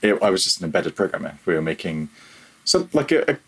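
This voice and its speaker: A man's voice: low-pitched, very animated, fairly quick, creaky, husky. A man talking casually as a podcast guest about his own working life, in a rambling, conversational way. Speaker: podcast guest, audio programmer. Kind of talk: casual interview, telling own career story.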